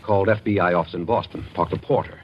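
A man plays a shrewd old-time radio sleuth who gives measured orders with wry folksiness. His voice sits low, and the vintage recording is dull with faint noise. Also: steady tone, lightly acted. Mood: grave, deliberate. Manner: measured, wry, directing others. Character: shrewd, take-charge male investigator, folksy and wry. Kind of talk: 1940s radio thriller acting. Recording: muffled, noisy 1940s radio recording.

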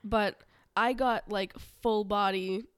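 A woman's voice: mid-range voice, fairly even intonation, slow pace, clean tone, no room sound, studio quality. A young woman co-hosting a podcast, nasal and congested from a cold, chatting in a laid-back way and swearing casually. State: congested, getting over a cold. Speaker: podcast co-host. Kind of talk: casual chat.

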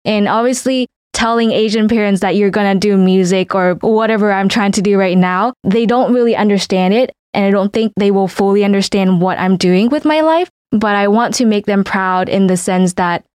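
A woman in her twenties from Vietnam, whose first language is Vietnamese, speaks in a reflective, flowing way as a podcast co-host. Her voice sits at a middle pitch, recorded close and dry. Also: normal speed, few pauses, a little mellow, dry recording. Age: 20s. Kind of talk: casual chat.

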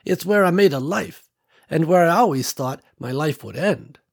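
A man voicing a wise elderly woman farmer who speaks gently and folksily, calling others honey and sweetie. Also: a little high, lively intonation, moderate pace, creaky, pitched above the performer's own voice. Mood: gentle. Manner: gentle folksy drawl with endearments.

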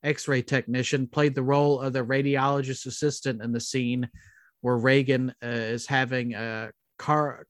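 A man co-hosting a casual podcast, chatting and reading out trivia in a halting way. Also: mid-range voice, level tone, no echo, clean studio sound. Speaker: podcast co-host.

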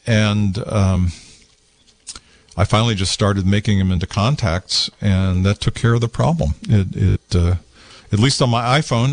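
A man with a fairly low voice hosts a call-in tech show on a studio microphone, and the sound is close and dry. He talks in a relaxed, meandering way, circling back with plenty of fillers and restarts. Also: no room sound. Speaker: radio call-in show host. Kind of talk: casual call-in tech chat. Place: small satellite radio studio. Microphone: studio microphone with headphones.

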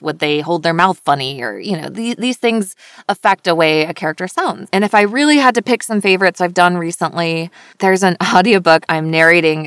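A woman with a voice on the low side talks in an animated, chatty way, laughing often. The sound is dry and close, with no room around it. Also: no echo. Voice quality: lower voice for a woman. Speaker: voice actor. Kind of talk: casual conversation.

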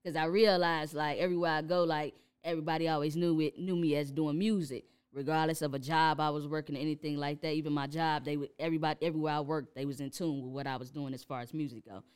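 A young woman with a Chicago accent, a rapper talking casually in an interview, relaxed and laughing often. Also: low-pitched, quick pace, hardly any pauses, smooth. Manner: laid-back, laughs often, many fillers. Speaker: rapper guest.

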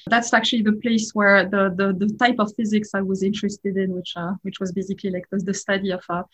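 A woman's voice: medium pitch, little pitch movement, fast, fairly bright tone, breathy edge. A woman in her forties with a French accent, a startup founder giving an interview and rambling with many fillers. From France. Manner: rambling, heavy use of "like" and "uh". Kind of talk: casual interview talk. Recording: dull, lo-fi sound.

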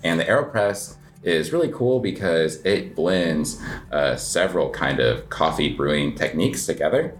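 A man with an American accent and a low-pitched voice talks as a guest in a casual interview. He explains things informally and loosely, wandering through his points with plenty of "like" along the way. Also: expressive, normal speed, a little hiss or hum. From United States. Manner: rambling, informal, peppered with "like". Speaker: interview guest, coffee shop owner. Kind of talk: casual conversational interview answers.

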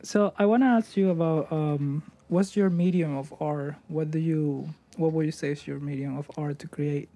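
Host, man from Latin America, mid-pitched mellow voice, enthusiastic, slightly halting. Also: steady tone, creaky. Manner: enthusiastic, slightly halting, says "wow" often. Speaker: podcast host. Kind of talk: casual interview conversation.

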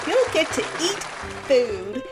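Prize princess, played by a woman: high, lively, sugary-catty announcer over loud game show music. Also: slow pace, full character voice. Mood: perky, mocking. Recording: loud music and crowd behind.

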